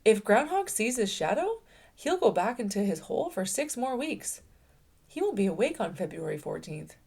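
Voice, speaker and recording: Woman as an animated, fretful storybook bunny. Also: mid-range voice, moderate pace, gravelly, husky, heavily acted. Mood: concerned. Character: sensible, worrying bunny full of objections.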